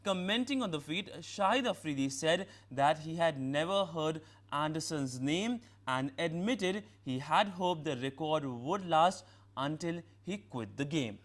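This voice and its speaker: A man's voice: on the high side, animated, unhurried. A man with an Indian accent reading scripted news as an anchor in a formal manner, occasionally stumbling.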